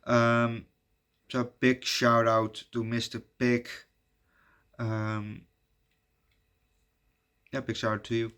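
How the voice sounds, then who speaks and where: A Dutch man, native Dutch speaker, hosting his channel in halting casual chat full of fillers and restarts. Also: medium pitch, level tone, a bit bright, clean tone. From Netherlands. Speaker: YouTube reviewer giving shout-outs.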